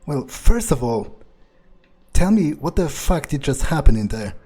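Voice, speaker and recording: Husky, mellow man musing aloud. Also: on the high side, big pitch swings. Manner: sweary, halting, musing aloud. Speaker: gaming video player. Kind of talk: improvised in-character game commentary.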